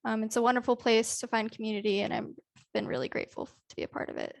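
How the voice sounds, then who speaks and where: Creaky, higher-pitched woman, even delivery. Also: quick pace, no echo. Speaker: student participant. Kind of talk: reading own written reflection aloud.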